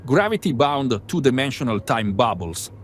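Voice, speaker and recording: A man's voice: medium pitch, big pitch swings, moderate pace, close to an everyday voice. A man playing a precise, reassuring, science-minded crew member in a fan audio drama. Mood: matter-of-fact. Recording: faint background noise.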